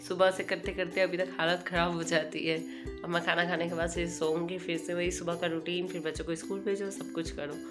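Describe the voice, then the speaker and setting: Chatty young woman, husky from a cold. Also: lowish voice. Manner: chatty, homely, meandering. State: hoarse from a cold. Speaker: cooking vlogger. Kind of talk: casual cooking narration.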